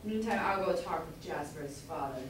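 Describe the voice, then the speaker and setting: A woman's voice: sits low, normal speed, over music, lightly acted. A woman playing an indignant, lamenting old merchant father in an amateur staged reading. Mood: aggrieved. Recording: roomy, distant mic, faint noise.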